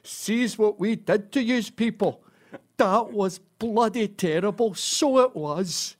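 A man's voice: high-pitched, slow pace, pitched above the performer's own voice. A man voicing a sentimental drunk man from a Glasgow pub, with a thick Glasgow accent. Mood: tearful.

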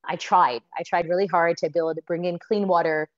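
American female founder, 30s, lower-pitched voice, dry and close, earnest and rambling. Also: fairly even intonation, moderate pace, no echo. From United States. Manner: earnest, with frequent fillers and restarts. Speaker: company founder and CEO, interview guest. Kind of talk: conversational interview answers. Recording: slightly dull, lo-fi sound.

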